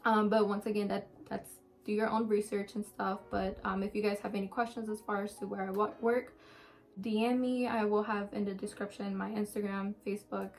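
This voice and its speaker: A woman's voice: medium pitch, flat delivery, brisk, a little mellow, faint music behind, poor audio. A woman of about twenty chatting casually to camera, answering viewer questions in a candid, rambling way with many ums. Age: around twenty. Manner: chatty, frequent ums and restarts, open and candid.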